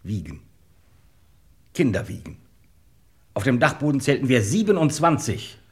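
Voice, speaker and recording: An actor playing a dry, knowing police detective in a German crime radio play, deliberate and insinuating. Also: male voice, medium pitch, big pitch swings, natural voice.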